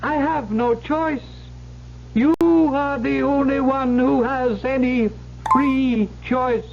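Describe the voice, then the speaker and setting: A shrill, slow bottle imp. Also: male voice, steady tone, creaky, heavily acted. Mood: eerie servile. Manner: slow, high, unearthly servility. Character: male bottle imp, devil's servant, bound and bitter. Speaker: actor in radio drama. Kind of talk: 1970s radio drama acting. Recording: muffled old radio recording with hiss.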